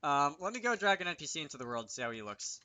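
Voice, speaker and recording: A man's voice: on the high side, very animated, fast talker, bright, forceful tone, no echo. A man giving casual, unscripted commentary over a screen recording, hesitant and thinking aloud as he works. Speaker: game developer hosting a tutorial series.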